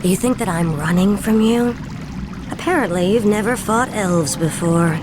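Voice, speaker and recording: A woman's voice: mid-range voice, normal speed, heavy background noise, lightly acted. An actress playing a proud, scornful female elf ranger general in a video game cutscene. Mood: defiant.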